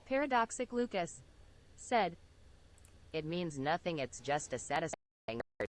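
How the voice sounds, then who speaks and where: A synthetic woman's voice reading viewers' chat messages aloud on a stream, clipped and even. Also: fairly low pitch, measured pace. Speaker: text-to-speech chat reader.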